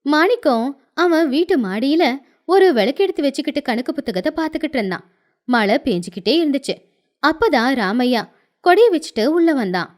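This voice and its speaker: A woman narrates a scripted story. Her voice sits very high. The intonation is lively and moves widely up and down. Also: fairly quick.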